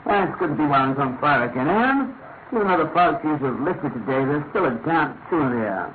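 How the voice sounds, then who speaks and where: An actor plays the detective's good-natured British companion, an observant man in a radio mystery. His voice sits slightly high and is plain-spoken. It comes through thin and band-limited, with slight noise. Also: animated, a little fast, close to an everyday voice. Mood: genial. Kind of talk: classic radio drama acting. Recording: noisy, narrow-band old radio recording.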